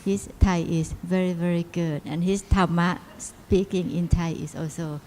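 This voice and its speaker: A woman's voice: on the low side, fairly even intonation, a little slow, mellow, slight background noise. A woman introduces the teacher in a light, enthusiastic way, laughing as she talks. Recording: reverberant hall.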